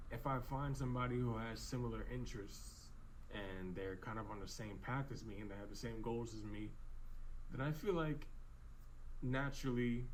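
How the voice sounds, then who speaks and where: A man in his twenties musing casually and haltingly about friendship, full of fillers and restarts. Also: fairly low pitch, low-quality recording. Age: 20s. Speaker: vlogger in clip. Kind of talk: reflective casual monologue. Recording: faint background noise.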